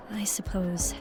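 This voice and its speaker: Casting: reserved career woman and girlfriend, medium pitch, video game cutscene, heavy music bed. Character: composed, pointed career-minded girlfriend pressing for commitment. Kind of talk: naturalistic video game voice acting. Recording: loud music behind the voice.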